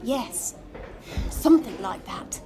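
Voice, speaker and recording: A woman's voice: a little high, animated, slow pace, mellow, a little hiss or hum. A woman playing a genteel, gossipy hotel receptionist in an audio drama, prim and coy. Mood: coy gossiping.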